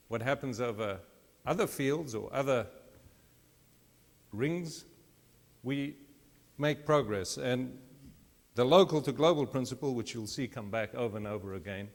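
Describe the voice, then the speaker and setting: Middle-aged male lecturer, mid pitch, chatty asides, long hall reverb. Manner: conversational, self-deprecating asides. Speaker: mathematics lecturer. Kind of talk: public lecture.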